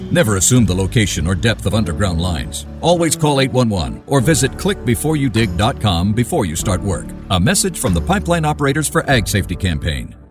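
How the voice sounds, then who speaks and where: A man's voice: fairly low pitch, very animated, brisk, few pauses, music in the background. A man voicing a public safety ad in an emphatic announcer style. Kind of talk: scripted ad voice-over.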